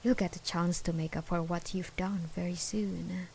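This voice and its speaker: A woman's voice: on the low side, fairly even intonation, gravelly, a little hiss or hum, low-quality recording. A woman playing an obsessive, menacing jilted ex-girlfriend in an intimate ASMR roleplay, drawing out her taunts. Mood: wounded, threatening. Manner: slow, menacing, drawn-out taunting with dark chuckles and whispers.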